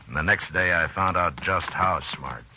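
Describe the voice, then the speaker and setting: An American actor playing a steady, weary frontier lawman in a radio western, measured and plain-spoken. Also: low voice, a little hiss or hum, exaggerated voice. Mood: wry, steady. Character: steady, weary frontier lawman, adult man, fair-minded. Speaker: actor and first-person narrator. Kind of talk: 1950s radio western acting. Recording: dull old radio recording, music behind.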